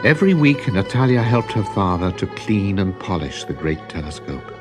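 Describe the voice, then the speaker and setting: A man narrating a children's story as an expressive storyteller. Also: low voice, normal speed. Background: music.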